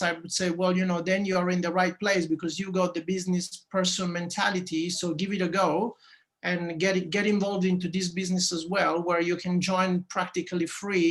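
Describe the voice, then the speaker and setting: Italian guy talking business on a call, kinda high voice, flat and gravelly, lots of "uh" and "you know". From Italy. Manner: earnest, repeats words, many "uh" and "you know". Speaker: business presenter on a video call. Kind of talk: casual business pitch.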